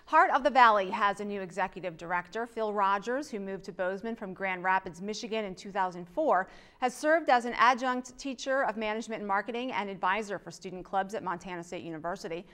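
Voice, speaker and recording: A woman's voice: medium pitch, fairly quick, a bit bright. A middle-aged woman reading scripted television news as an anchor in a studio.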